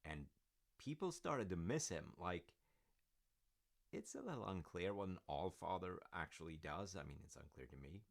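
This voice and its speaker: Man acting as a reassuring dad, very low pitch, gravelly fry, rambling hesitant delivery, one-sided roleplay audio. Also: sing-song, moderate pace, heavily acted. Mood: amused storytelling. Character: supportive, rambling storytelling dad comforting his grown child.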